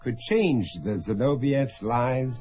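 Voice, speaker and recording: A man narrating an old radio drama in a measured, grave way. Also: medium pitch, expressive. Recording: dull old radio recording with music.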